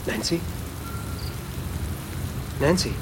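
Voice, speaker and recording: An actor playing a restless, hard-drinking middle-aged husband in a radio drama, speaking haltingly and muttering between fillers. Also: male voice, background noise. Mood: searching, worried. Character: restless, hard-drinking American husband, unsteady and increasingly tipsy. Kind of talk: naturalistic radio drama acting.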